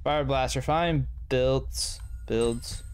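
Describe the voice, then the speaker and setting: A man's voice: medium pitch, extremely slow, music in the background. A young man giving casual, slangy, rambling and self-deprecating commentary while he plays a video game. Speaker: gaming video host. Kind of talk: casual let's-play commentary.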